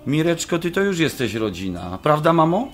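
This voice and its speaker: An actor playing a mildly exasperated, placating adult son, speaking casually in a radio drama. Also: male voice, on the high side, big pitch swings, moderate pace.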